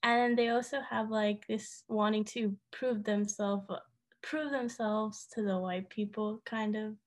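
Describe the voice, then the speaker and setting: A woman's voice: medium pitch, steady tone, unhurried. A teenage girl in casual podcast chat, rambling with lots of fillers and laughing. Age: teens. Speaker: podcast co-host.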